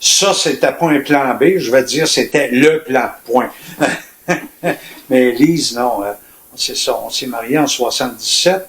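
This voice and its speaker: An older man in his seventies from Quebec, with a Quebec French accent, telling his life story in a reflective, colloquial, measured way as a retired police officer. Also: medium pitch, creaky. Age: 70s. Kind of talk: personal storytelling in an interview. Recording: echoey room with faint noise.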